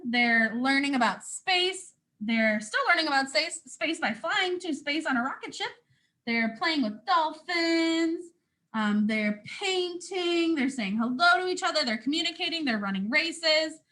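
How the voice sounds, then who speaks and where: A woman's voice: high-pitched, sharp tone. A woman with a North American accent reads a picture book aloud to young children as a teacher, expressively, with simple explanations along the way. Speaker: first grade teacher.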